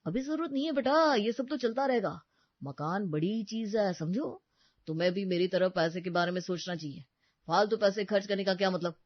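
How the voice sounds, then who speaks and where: A man's voice: sits high, moderate pace, no room sound, dull audio. An actor playing a stingy, lecturing young father in an acted family story, brushing off every request. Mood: dismissive. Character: stingy, dismissive young father obsessed with his new house. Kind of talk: acted Hindi audio story dialogue.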